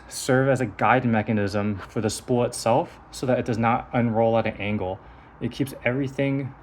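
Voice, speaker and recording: A man's voice: on the low side, darkish tone, a little hiss or hum. A man giving a hands-on technical demonstration of a device in a methodical, explanatory manner. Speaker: engineer presenting a prototype device.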